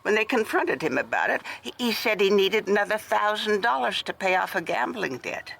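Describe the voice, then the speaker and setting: An actress playing a refined, middle-aged wealthy widow in a radio detective drama, with a formal, confiding way of talking. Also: female voice, mid-range voice, lively intonation, moderate pace, vocal fry, a bit bright. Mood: anxious. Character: wealthy widow, refined, gracious, worried hostess.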